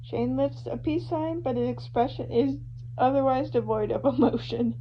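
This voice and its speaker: A woman reading fan fiction aloud in an informal amateur style, animated as she moves between narration and dialogue. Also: high-pitched, moderate pace. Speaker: amateur podfic reader. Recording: muffled lo-fi home recording with hum, hiss.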